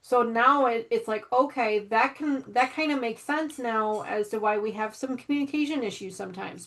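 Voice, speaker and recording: A woman guest on a livestream talking reflectively and haltingly, with many restarts. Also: on the high side, fairly bright tone. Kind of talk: casual chat. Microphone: livestream mic.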